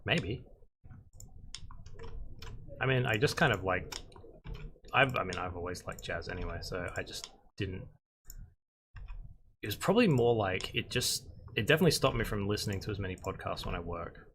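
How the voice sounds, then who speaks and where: A young man, a concept artist and instructor, chatting casually and rambling in a flat monotone while he works on a livestream. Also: fairly low pitch, moderate pace, long pauses, a little hiss or hum. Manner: monotone, rambling with many fillers.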